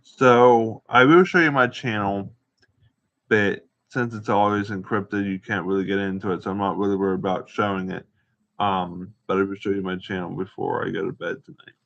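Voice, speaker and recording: A man giving a casual, rambling show-and-tell as an amateur vlogger, haltingly searching for words and repeating himself, on muffled home video audio. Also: fairly low pitch, fairly even intonation, vocal fry, fairly bright tone. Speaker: amateur vlogger showing gear.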